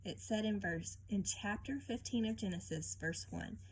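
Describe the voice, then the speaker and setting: A woman reading a picture book aloud and teaching children informally, expressive and often turning to the listener with questions. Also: mid-range voice, normal speed, slight background noise, low-quality recording.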